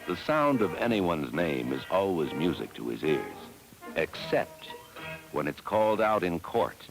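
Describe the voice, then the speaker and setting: Deep, gravelly, dramatic male film narrator. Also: big pitch swings, measured pace, rough recording. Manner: dramatic, admonishing. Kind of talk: 1960s educational film scripted narration. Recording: vintage film soundtrack with music.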